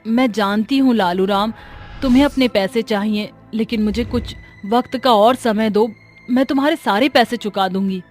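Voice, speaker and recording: A woman's voice: on the high side, normal speed, a little mellow, soft background music, lightly acted. A woman voicing a hard-up village mother who sells fish, earnest and wondering, in a cartoon story. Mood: pleading.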